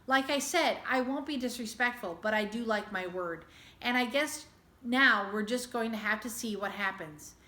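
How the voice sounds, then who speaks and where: Storybook schoolboy, voiced by a woman: stubborn, sincere, plain-spoken, high voice, echoey room sound. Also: normal speed, pushed and bright. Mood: resolute. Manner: earnest, insistent, plain-spoken. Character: earnest, stubborn schoolboy arguing with grown-ups in storybook.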